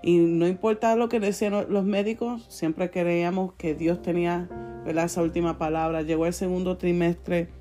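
A woman's voice: on the low side, fairly even intonation, normal speed. A young woman with a Puerto Rican accent, chatting casually and cheerfully as a faith podcast co-host. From born in Puerto Rico, raised in the United States. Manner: cheerful, given to laughing. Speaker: podcast co-host, church worship leader. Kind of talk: casual testimonial chat. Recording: soft guitar music behind voice. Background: soft guitar music.

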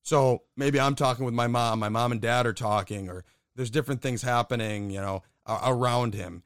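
A man's voice: mid-range voice, moderate pace, smooth, dry recording, studio quality. A young man from the Buffalo, New York area, co-hosting a casual podcast and telling stories candidly, swearing now and then. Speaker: podcast co-host.

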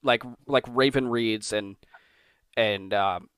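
A man's voice: lowish voice, slow pace, a bit bright, smooth, dry recording. A man hosting a podcast interview, talking in long, rambling, enthusiastic stretches. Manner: rambling, enthusiastic, repeats himself. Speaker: podcast host.

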